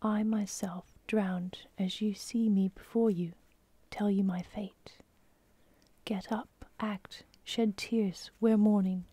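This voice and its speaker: A woman voicing a dream god who takes the shape of a drowned husband's ghost, in a soft, slow unvoiced whisper. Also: medium pitch, steady tone, breathy edge, no room sound. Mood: mournful pleading. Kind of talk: whispered storytelling.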